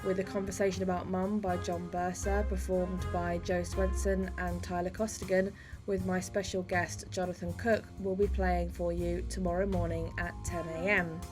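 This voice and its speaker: A woman's voice: lowish voice, level tone, moderate pace. Woman host over music. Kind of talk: scripted introduction.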